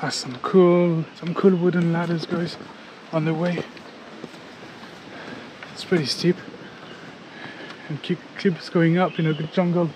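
Excitable French man filming himself in the jungle. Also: on the high side, measured pace, pauses often, slight background noise, low-quality recording. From France. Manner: chatty and excitable, talks to viewers as guys, swears. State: sweaty and a bit out of breath from climbing. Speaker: travel vlogger. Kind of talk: casual vlog commentary. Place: outdoors in a hilly jungle on a rocky trail. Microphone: handheld action camera at arm's length.

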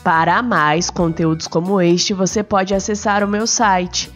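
A woman's voice: on the low side, fairly even intonation, a little slow, soft background music. Brazilian woman telling bedtime stories. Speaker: storyteller and podcast host. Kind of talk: bedtime story narration and chatty commentary to children.

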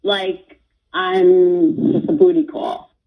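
A woman's voice: fairly low pitch, little pitch movement, slow pace. A woman confronting her partner in conversational speech over a phone call. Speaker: caller confronting her boyfriend on radio. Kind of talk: confrontational relationship talk. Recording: slightly dull phone-call sound.

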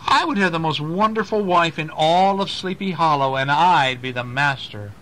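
A courtly, superstitious schoolmaster out of a storybook, acted out by a man. The voice is raised above an everyday pitch and has a genteel, lovestruck air. Faint noise sits behind the homemade recording. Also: moderate pace, bright, forceful tone. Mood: dreamy sighing. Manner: exclaiming, sighing, genteel. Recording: noisy amateur home recording.

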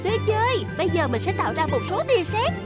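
A woman playing a bright young female inventor in a dubbed children's cartoon, upbeat and reassuring as she explains. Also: extremely high pitch, sing-song, quick pace. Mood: proud, upbeat. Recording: loud music behind the voice.

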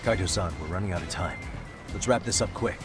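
A man's voice: deep voice, a little fast, music in the background, close to an everyday voice. A man playing a gritty, determined detective in his thirties in a video game dub, speaking in a terse, serious, wry way. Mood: dry impatience.

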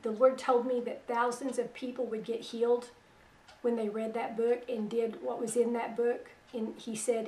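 A middle-aged woman hosting religious internet radio. Her voice is weak after a stroke, and she talks in a soft, plain-spoken, unhurried way. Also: a little high, roomy sound, far from the mic, a little hiss or hum, low-quality recording. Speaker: radio host. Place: small room at home, indoors. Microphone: headset.

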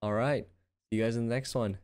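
A young man giving casual, excited reaction commentary as a video host, with slangy, profane exclamations. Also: medium pitch, fairly quick, noisy background. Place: indoor streaming room at home. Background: music and game trailer audio.

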